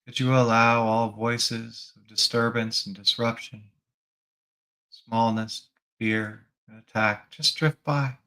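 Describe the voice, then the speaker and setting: A man leading a guided spiritual meditation as a teacher, gently prompting the listener with reflective questions. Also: medium pitch, steady tone, slow pace, poor audio.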